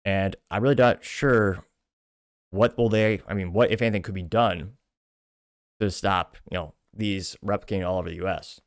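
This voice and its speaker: American man, lowish voice, casual rambling commentary host who wanders into asides; dry recording. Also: fairly even intonation, moderate pace, no room sound. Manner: rambling, digressive, with offhand asides. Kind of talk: casual political commentary, reading out viewer comments.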